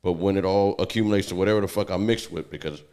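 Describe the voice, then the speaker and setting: A man's voice: low-pitched, steady tone, fast, darkish tone, clean tone. A Puerto Rican man co-hosting a casual podcast chat, who talks in a blunt, profane way. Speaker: podcast co-host.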